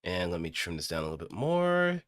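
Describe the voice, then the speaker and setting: Low-pitched man muttering between takes. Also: sing-song, a little fast, a bit bright, no room sound, clean studio sound. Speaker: streamer practising voice acting. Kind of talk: casual self-directing talk between takes.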